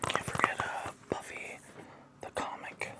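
A man in his thirties whispering casual, enthusiastic fan chat for ASMR, close to the mic in a room at home. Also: a little slow. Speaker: ASMR video creator showing a collection. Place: small living room indoors.

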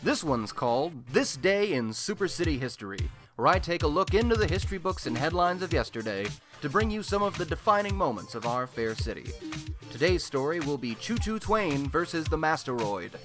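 A man's voice: on the high side, very animated, brisk, music in the background. A man playing a chatty, wry radio host in a superhero city, telling scripted comic stories in a colloquial, theatrical way for a radio play. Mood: wry, upbeat.

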